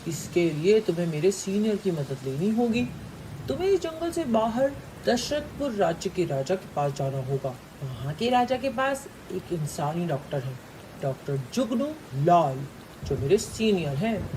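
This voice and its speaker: A woman voicing a serious, reassuring doctor in an animal fable, speaking in a grave, measured way. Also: on the low side, lively intonation, background noise.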